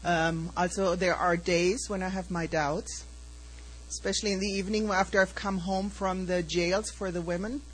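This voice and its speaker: Woman, guest speaker, husky low voice, frank and earnest answers. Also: low-quality recording. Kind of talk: answering audience questions.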